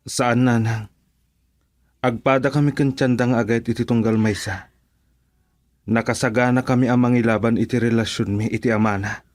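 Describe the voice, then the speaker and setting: A man's voice: medium pitch, fairly even intonation, moderate pace, long pauses, a little mellow, dry recording, studio quality, lightly acted. Earnest young sweetheart played by a man. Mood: resolute. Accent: Filipino. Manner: earnest, respectful, pleading. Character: earnest, humble young tailor, devoted sweetheart and son. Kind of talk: radio drama acting.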